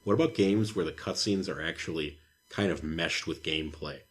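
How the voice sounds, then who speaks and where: A man's voice: rumbling low, normal speed. A man hosting a podcast, chatting casually with wry, mocking opinions and questions. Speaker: podcast host.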